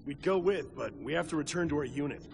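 An actor playing a confident, easygoing soldier in a video game, casual and slangy. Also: male voice, a little high, fast talker, creaky, background noise. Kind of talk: video game cutscene acting. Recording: loud music behind.

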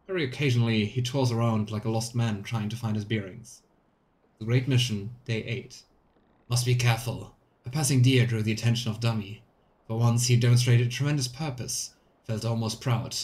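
A man reading aloud the journal of an earnest, methodical demon researcher in measured, clipped phrases for a video game. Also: mid-range voice, steady tone, darkish tone, lightly acted. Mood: earnest, studious.

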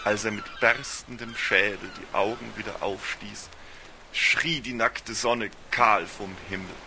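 A man's voice: on the low side, fairly even intonation, a little slow, creaky, background noise. A man giving a scripted literary narration for a radio play in a solemn, ornate storytelling manner.

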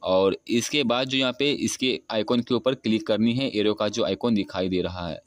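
A man's voice: on the low side, normal speed, no echo. A young man of about twenty, walking through a phone app step by step in an unscripted tutorial. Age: young adult. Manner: step-by-step, repetitive narration. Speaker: tutorial host. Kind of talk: app screen-recording walkthrough.

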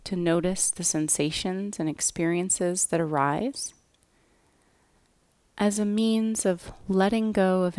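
A woman guiding a yoga nidra relaxation in a gentle, measured way, with soft phrase endings. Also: fairly low pitch, no room sound. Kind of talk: guided relaxation meditation, scripted.

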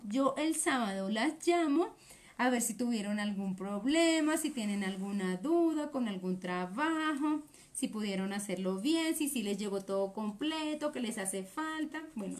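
A woman, chatting through a live sewing class while she works at a table. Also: high voice. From Colombia. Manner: chatty, explains while working with her hands. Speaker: sewing instructor. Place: indoor workroom at a cutting table.

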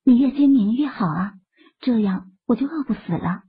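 A woman playing a sweet, tender young wife who speaks coyly and softly to her husband in an audiobook drama. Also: a little high, expressive, normal speed, slightly rough. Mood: playful. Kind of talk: acted audiobook dialogue. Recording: muffled, band-limited lo-fi audio.

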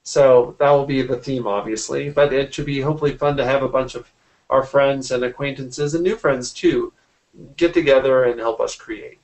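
Man chatting casually, halting and rephrasing. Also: medium pitch, steady tone, a little mellow, low-quality recording. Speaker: interview guest, artist and art teacher. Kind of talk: casual interview conversation. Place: small indoor room at home. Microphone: computer mic on a video call.

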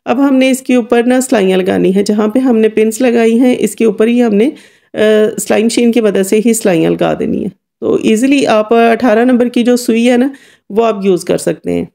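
A woman's voice: a little high, gravelly, dark tone. A woman giving an informal, chatty step-by-step DIY voice-over as a tutorial host. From Kashmir.

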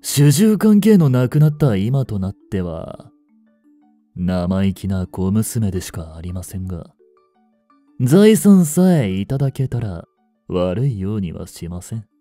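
A man playing a suave, greedy young butler in a romance audio drama, polite and softly menacing in an unhurried way. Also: on the low side, very animated, dry recording, soft background music. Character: suave, greedy young male butler turned possessive betrayer.

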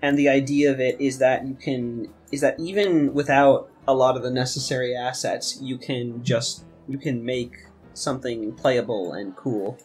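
Halting young man in casual chat. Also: medium pitch, steady tone, a little mellow. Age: young adult. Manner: rambling, halting, repeats words. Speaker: podcast guest and game maker. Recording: music playing under the talk. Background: guitar music.

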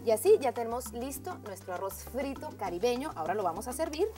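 A woman's voice: on the high side, animated, fast, over loud music. A woman hosting a cooking show, walking viewers through a recipe step by step in an upbeat, friendly way, calling them friends. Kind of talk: scripted cooking demonstration.

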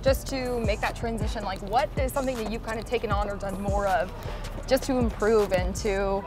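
A woman's voice: mid-range voice, fairly even intonation, fairly quick, music in the background. A young woman interviewing a college athlete while walking outdoors on a campus, asking upbeat questions. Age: young adult.

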